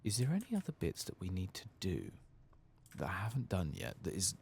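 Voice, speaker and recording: A soft-spoken man doing ASMR-style game commentary, muttering casually to himself as he thinks aloud and sometimes dropping into a whisper. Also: low-pitched, wildly swooping pitch, brisk, vocal fry, slight background noise. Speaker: gaming video host.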